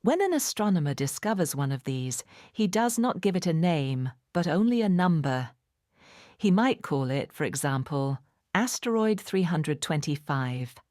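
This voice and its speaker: A woman narrating a children's storybook in a measured, clearly phrased, expressive bedtime-story manner. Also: low voice, dry recording, clean studio sound. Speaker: audiobook narrator.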